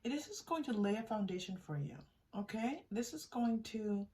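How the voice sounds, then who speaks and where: A woman giving an improvised spiritual tarot reading straight to the viewer, in a halting, conversational way that often seeks agreement. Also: fairly high pitch, animated, dark tone, distant mic. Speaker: tarot reader and channel host.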